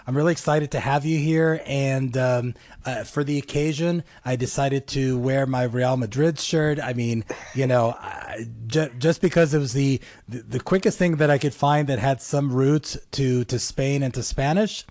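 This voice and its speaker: A man's voice: medium pitch, normal speed, a little mellow, no room sound. A man hosting a talk show, chatty and loose, with many fillers and restarts. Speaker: host.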